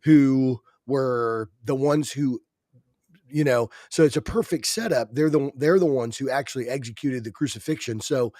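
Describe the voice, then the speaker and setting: A man with a stuffy, congested voice talks through a point with earnest conviction, restarting his sentences as he goes. His voice sits at a middling pitch. The sound is dry and close, with no room around it. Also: moderate pace, darkish tone, no echo. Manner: many restarts, earnest arguing. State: stuffy nose. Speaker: podcast co-host. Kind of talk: casual religious discussion.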